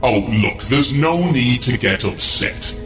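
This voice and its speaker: A man's voice: mid-range voice, lively intonation, slow pace, fairly bright tone. Guy as an anxious whiny undead king, comedy radio play, echoey, music behind, very up and down. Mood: pleading. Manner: exasperated, pleading. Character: whiny, anxious undead king, cowed before his boss. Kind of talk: comic radio play as a voice chat.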